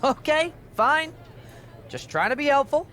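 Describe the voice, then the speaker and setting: A man's voice: very high-pitched, animated, measured pace, bright, forceful tone, a little hiss or hum, exaggerated voice. A man playing a chirpy, overfamiliar junior TV producer in a video game, chummy, eager and officious. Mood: breezy. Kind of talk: scripted video game cutscene acting.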